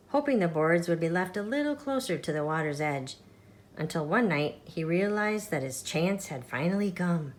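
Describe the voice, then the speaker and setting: A woman with a slightly low voice reads a picture book for children. She tells it expressively and at a measured storyteller's pace, as the regular reader of a storytime show.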